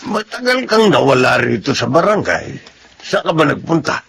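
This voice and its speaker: An actor playing a kindly old Filipino grandfatherly benefactor in a radio drama, encouraging and fatherly, with a creaky voice. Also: fairly high pitch, sing-song, normal speed, a bit bright, slight background noise, heavily acted. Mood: pleased, welcoming. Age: older.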